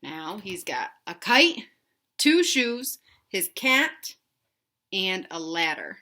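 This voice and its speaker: Bright-voiced woman reading to children. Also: mid-range voice, takes it slowly. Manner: animated, emphatic, asks young listeners questions. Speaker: read-aloud host for children. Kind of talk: children's storybook read-aloud with asides.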